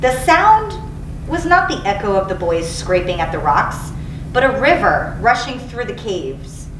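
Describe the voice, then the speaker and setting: A woman's voice: medium pitch, big pitch swings, unhurried. A woman, around her thirties, reading narration aloud from a book as a teacher would. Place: indoor school studio, seated. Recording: roomy, distant mic, clearly noisy.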